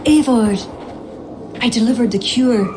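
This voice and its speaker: A woman's voice: on the high side, unhurried, background noise, close to an everyday voice. An actress playing a grave, knowing Irish woman in a video game, earnest and explanatory, with an Irish accent. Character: grave, knowing female Irish poet guide.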